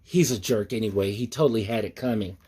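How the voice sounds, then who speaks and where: A man's voice: on the low side, fast talker, dark tone. A man reading a rhyming story aloud as a storyteller, expressively, with folksy, playful asides to his listeners.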